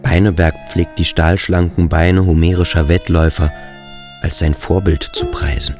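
A very low male voice acted by a man gives the private thoughts of a brooding schoolboy in a radio drama. The delivery is introspective and deliberate, phrase by phrase. Soft instrumental music sits faintly behind it. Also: level tone, lightly acted. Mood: contemplative, observing. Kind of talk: radio drama interior monologue.

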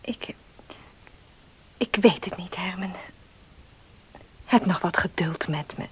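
An actress in a Dutch radio drama playing a compassionate, earnest woman, speaking softly in a husky, breathy voice. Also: fairly low pitch, slow, a little hiss or hum, rough recording. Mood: hesitant, pleading.

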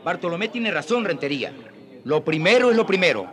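High-voiced commanding conquistador captain. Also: male voice, very animated, fairly quick. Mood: firm. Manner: barking orders, forceful. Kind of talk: radio drama acting.

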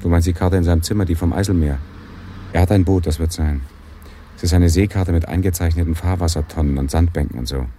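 A man's voice: deep voice, steady tone, fast, warm tone, a little hiss or hum, natural voice. An actor playing a thoughtful, matter-of-fact male police detective in a radio crime drama. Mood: deducing.

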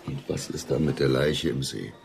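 An actor playing a blunt, impatient police detective with an offhand manner in a German radio drama. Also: male voice, a bass voice, moderate pace, gravelly, darkish tone. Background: music.

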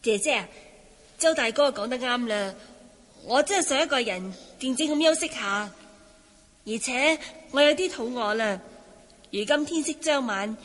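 Gentle high-voiced swordswoman, Cantonese. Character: gentle, guarded young swordswoman who disguises as a man. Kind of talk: Cantonese radio drama acting. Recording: reverberant hall, music behind.

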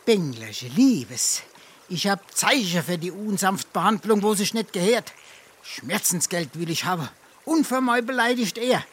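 A battered, boozy scrounger in a stage comedy, whining and boasting in halting Darmstadt Hessian. His voice is mid-pitched, and there is faint noise behind him. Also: expressive, fairly bright tone. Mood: smug, indignant. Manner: halting, groaning, self-important. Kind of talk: dialect stage comedy monologue.

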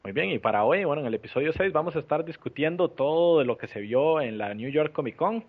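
A man from Costa Rica hosting a casual podcast chat, relaxed and thinking aloud with restarts, heard over an online call. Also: on the high side, fast. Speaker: podcast host. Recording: tinny phone-like call audio.

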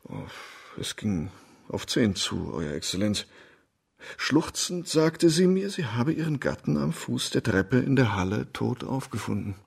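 Oily, gravelly-voiced doctor. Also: male voice, medium pitch, very animated, unhurried, full character voice. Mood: fawning, formal. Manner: smooth-talking, obsequious, formal. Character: oily, lecherous male doctor, fawning before authority. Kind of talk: radio drama acting.

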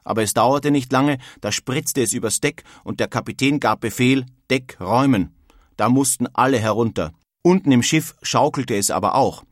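A man's voice: mid-range voice, no echo, clean studio sound, lightly acted. An actor playing an earnest nineteenth-century emigrant, reading his letters home in a dramatized style. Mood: lightly amused. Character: earnest male 19th-century German emigrant writing home.

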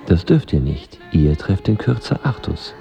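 A very deep, dark male voice plays a skeptical, chivalrous knight. His delivery is formal and deadpan, as in a literary radio drama, with soft music behind. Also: measured pace, gravelly, full character voice. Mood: solemn, self-abasing.

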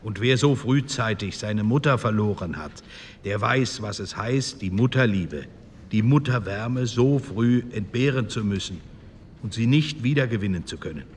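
Man acting a solemn, low-voiced parliamentarian. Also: lively intonation, normal speed, lightly acted. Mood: solemn, compassionate. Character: earnest, compassionate, pious male parliamentarian, mature. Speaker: actor in a documentary radio play. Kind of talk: re-enacted parliamentary speech. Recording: echoey hall with background noise.

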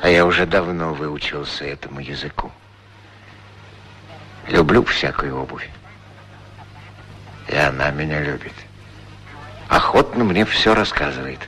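An actor playing a gentle, musing shoe shiner in a radio play, talking haltingly and repeating his words to himself. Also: extremely low pitch, rough recording. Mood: musing, affectionate. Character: gentle, musing male shoe shiner who chats with shoes. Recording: 1960s radio drama recording.

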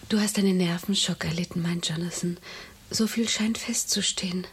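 An actress playing a tender young woman in a German radio play, speaking in a hushed, gentle, soothing way. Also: fairly low pitch, fairly even intonation, moderate pace, a little hiss or hum, lightly acted. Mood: tenderly soothing.

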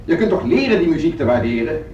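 Radio drama: stern, commanding conductor, highish voice, condescending, heard in a roomy, noisy recording. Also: male voice, sing-song, quick pace, dark tone. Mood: condescending, firm. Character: stern, authoritarian music school orchestra conductor, losing patience. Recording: echoey, distant, dull noisy radio recording.

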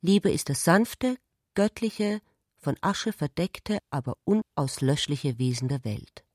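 A woman's voice: low voice, expressive, slow, darkish tone, no room sound. Woman narrating a literary radio play, very dry close sound, no room.

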